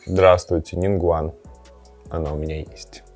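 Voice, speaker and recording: A man's voice: sits low, level tone, unhurried, a little mellow, soft background music. A man hosting a gaming and cooking vlog, chatting casually and playfully, sometimes to his cat. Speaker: host of a gaming and cooking channel. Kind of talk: casual chat to camera with gameplay reactions.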